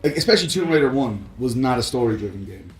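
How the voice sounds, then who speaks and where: A man's voice: medium pitch, lively intonation, fast talker. Man co-hosting casual chat, chatty and laughing, roomy echo, faint music behind. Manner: chatty, laughs often, many fillers. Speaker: podcast co-host. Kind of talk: casual chat about films and games.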